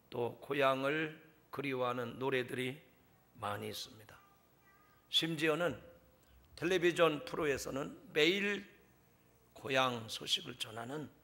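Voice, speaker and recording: A man preaching a sermon in a measured way, building in intensity as he tells the scripture story. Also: medium pitch, sharp tone. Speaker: pastor.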